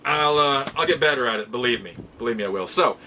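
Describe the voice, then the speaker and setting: A man's voice: mid-range voice, lively intonation, quick pace, bright, forceful tone, a little hiss or hum. A middle-aged man hosting a hobby show, telling stories casually to viewers in an exasperated, emphatic way and repeating phrases for stress. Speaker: host of a nostalgia and toy collecting show. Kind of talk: casual rambling storytelling to viewers.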